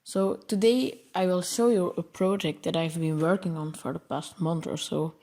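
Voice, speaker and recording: A man's voice: on the high side, fairly even intonation, moderate pace, vocal fry, a little mellow. A young man from Belgium, a native Dutch speaker with a Belgian Dutch accent, explaining his coding project over slides and stumbling over long words. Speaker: programming channel host.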